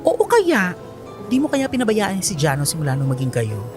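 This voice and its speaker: An actress playing an excitable, gushing young woman, a best friend in a radio drama. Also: on the low side, normal speed. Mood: gushing, proud. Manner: exclamatory, gushing, Taglish. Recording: music behind the voice.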